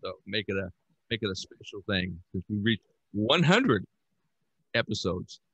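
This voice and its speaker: A man's voice: lowish voice, sing-song, unhurried, a bit bright, breathy edge, no echo, highs cut off. An older man hosting a podcast in casual, rambling talk with chuckles, speaking over a headset on a video call. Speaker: podcast host. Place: room at home.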